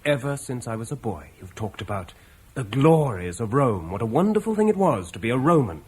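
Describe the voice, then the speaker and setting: A man's voice: medium pitch, sing-song, brisk, slightly rough. An actor playing a bitter young man who accuses his elder in a radio play. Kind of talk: 1950s radio play acting.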